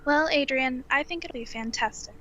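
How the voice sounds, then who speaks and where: A woman's voice: high-pitched, normal speed, sharp tone, muffled recording. A woman playing a supportive, affectionate but blunt girlfriend in an audio drama, speaking in a frank, casual, teasing way. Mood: frank, encouraging. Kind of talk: naturalistic audio drama dialogue.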